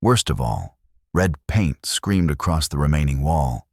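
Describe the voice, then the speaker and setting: Very deep-voiced male storyteller, dry sound. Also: expressive, measured pace, slightly rough, no echo. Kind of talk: scripted audiobook narration of a western romance.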